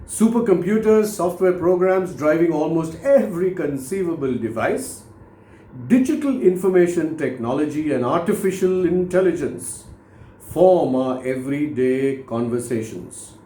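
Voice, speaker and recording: Guy in his 50s narrating a book, kinda high soft mellow voice, speechy pauses, echoey room. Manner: measured, deliberate, oratorical phrasing with pauses. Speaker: author reading own book. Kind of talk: scripted audiobook narration, motivational. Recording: roomy, echoing voice recording.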